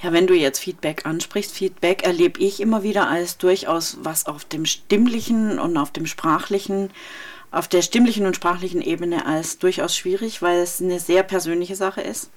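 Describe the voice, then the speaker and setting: A woman with a fairly low voice leads a radio interview. She talks conversationally and offers reflective prompts for her guests to pick up. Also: normal speed. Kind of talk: radio interview, asking questions.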